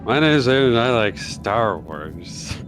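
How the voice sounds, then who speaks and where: A man's voice: mid-range voice, big pitch swings, measured pace, over music. A man co-commentating a game in a casual, joking style.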